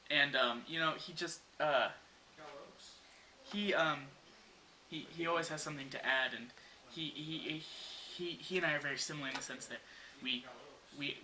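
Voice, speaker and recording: A man's voice: mid-range voice, normal speed, long pauses, bright, forceful tone. A young man rambling with lots of "you know" while joking about his video partner in a mock interview. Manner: rambling, full of you-knows. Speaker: video creator. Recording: echoey room with crowd noise.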